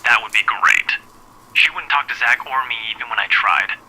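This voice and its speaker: A man's voice: medium pitch, moderate pace, sharp tone, slight background noise, low-quality recording. An actor playing a friendly, busy young man in a visual novel game, heard through a telephone filter. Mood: earnest. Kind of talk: game voice acting.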